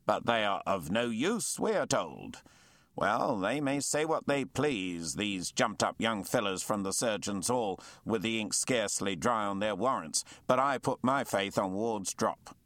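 A man's voice: fairly low pitch, lively intonation, unhurried, a bit bright, no room sound. A man doing a blustering old lieutenant. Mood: indignant. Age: 50s. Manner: blustering, indignant, old-fashioned. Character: grumbling old male navy lieutenant.

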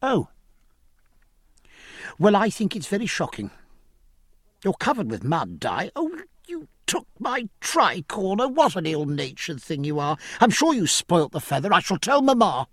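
A man voicing a silly, excitable young woman who is petulant and easily shocked. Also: a little slow, breathy edge, no room sound, clean studio sound, heavily acted. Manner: high, breathless, whining.

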